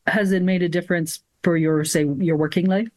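A woman's voice: fairly low pitch, fairly even intonation, brisk, a little mellow, no echo. A woman interviewer asking short, encouraging questions in a casual conversation. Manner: encouraging, asks short questions, frequent backchannel.

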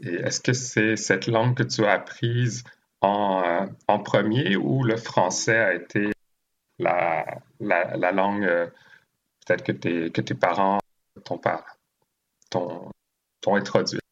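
A man from Haiti hosting a conversational interview, speaking in a halting way with frequent pauses. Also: sits low, creaky, highs cut off. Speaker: podcast interviewer and host. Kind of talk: conversational interview with long spoken introductions.

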